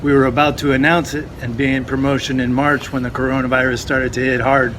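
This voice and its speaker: A relaxed man co-hosts a casual chat about his festival, cursing easily and speaking in an even middle register. He is recorded outdoors in a city, with clear traffic and street sounds running behind him. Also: mid-range voice, fast. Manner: laid-back, swears casually. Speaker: festival organizer and co-host. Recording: street noise and passing vehicles behind.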